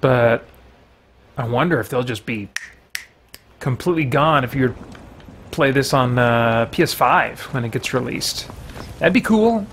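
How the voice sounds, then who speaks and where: A man's voice: medium pitch, lively intonation, a little slow, pauses often, a bit bright. A middle-aged man giving casual let's play commentary as a gaming host. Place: indoor room with bookshelves. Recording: faint noise, game ambience behind.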